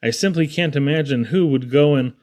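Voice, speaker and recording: A man with a moderately high-pitched voice reads comic poetry for children. His delivery is expressive and theatrical, with a playful storyteller's feel. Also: a little fast. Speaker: poetry reader. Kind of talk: expressive reading of humorous children's verse.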